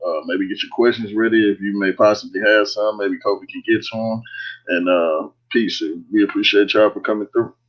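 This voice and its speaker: A man's voice: fairly low pitch, fairly even intonation, brisk. A man co-hosting a livestream, laid-back and casual, greeting the audience with many fillers. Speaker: co-host. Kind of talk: casual chat. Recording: dull lo-fi call audio.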